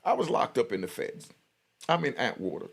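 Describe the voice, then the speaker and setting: A man's voice: medium pitch, sing-song, brisk, gravelly, fairly bright tone, slightly rough. A middle-aged man telling stories and giving commentary in a casual, slangy, streetwise way, often checking in with the listener. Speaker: YouTube storyteller and commentator. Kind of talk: casual spoken prison storytelling and commentary.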